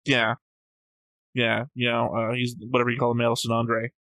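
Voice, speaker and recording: A man's voice: medium pitch, monotone, moderate pace, fairly bright tone, dry recording. A man talking casually as a reaction show host, opinionated and analytical, with a blunt, flippant way of speaking.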